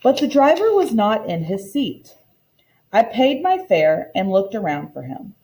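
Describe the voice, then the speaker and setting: A woman teacher giving an expressive storybook read-aloud lesson in an instructive, deliberate manner. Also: medium pitch, low-quality recording.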